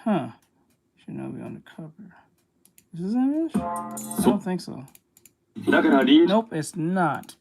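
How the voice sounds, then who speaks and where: Excitable swearing woman reacting over music. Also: lowish voice, vocal fry. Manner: excitable, swears freely, quick exclamations. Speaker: artist streaming while drawing. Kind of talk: casual reaction chat while drawing.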